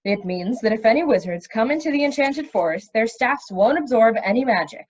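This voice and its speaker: A woman's voice: a little high, animated, lo-fi sound. A woman voicing an earnest, awkward young forest king with a hesitant manner in a storybook reading. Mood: explaining. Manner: hesitant, halting.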